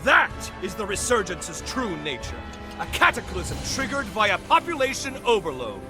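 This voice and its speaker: A man's voice: high voice, big pitch swings, normal speed. A man playing a pompous, self-important immortal revenant scholar in a video game, lecturing in florid, theatrical style. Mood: dramatic lecturing. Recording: game music behind voice. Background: game music.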